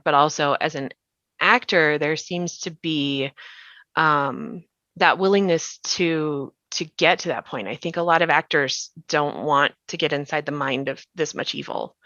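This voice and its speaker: Low-voiced, creaky woman podcast host. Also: a bit bright, dry recording, highs cut off. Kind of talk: conversational behind-the-scenes discussion.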